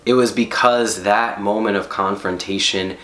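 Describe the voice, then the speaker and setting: A man telling a personal story to an audience, animated and emphatic, laughing as he talks. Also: lowish voice, moderate pace, slight background noise. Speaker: storyteller on stage. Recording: roomy hall echo.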